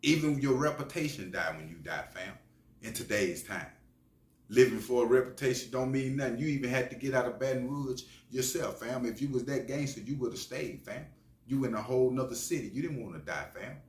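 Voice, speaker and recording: A man giving opinionated, preachy street-slang commentary as a channel host, speaking emphatically. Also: mid-range voice. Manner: preachy, emphatic, often tagging phrases with slang address. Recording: echoey room sound.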